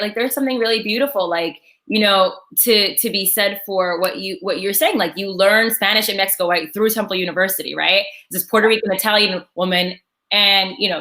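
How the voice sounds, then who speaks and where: A woman's voice: mid-range voice, pushed and bright. Affirming woman interview host. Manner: affirming, enthusiastic. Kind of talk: casual interview.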